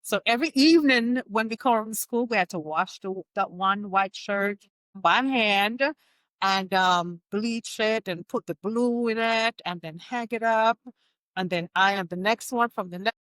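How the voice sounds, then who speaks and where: A woman's voice: mid-range voice, measured pace, no room sound, rough recording. Woman in her sixties, storytelling. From Jamaica. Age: 60s. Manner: warm storytelling, laughs often. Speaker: guest, fitness coach. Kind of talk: podcast interview.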